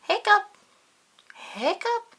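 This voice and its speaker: A woman plays a little boy kitten from a storybook, high, squeaky and bright. He is earnest and puzzled, eager in his questions, with a voice that jumps about in pitch. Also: very animated, very slow, heavily acted. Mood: startled hiccuping. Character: young male kitten with hiccups, earnest and puzzled.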